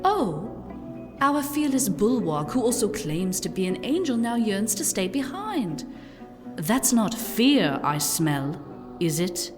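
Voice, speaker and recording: A woman voicing a sharp-tongued, scornful female drow warrior in an audio drama, with a mocking, cutting delivery. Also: mid-range voice, big pitch swings, slow pace. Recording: reverberant hall, music behind.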